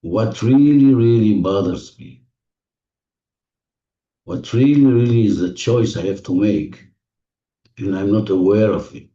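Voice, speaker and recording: Very mellow, deep-voiced man guiding meditation. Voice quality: non-native English phrasing. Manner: gentle instructions with repetition and deliberate pauses. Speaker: guided meditation leader. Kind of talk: guided visualization, spoken slowly to a listener. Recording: muffled, echoey room, distant mic.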